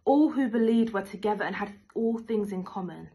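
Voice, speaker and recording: A woman's voice: mid-range voice, animated, moderate pace, dark tone, husky, low-quality recording. A young woman reads a passage of scripture in a middle-register voice. She is recorded indoors on a laptop or phone. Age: young adult. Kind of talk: scripture reading. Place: plain room indoors.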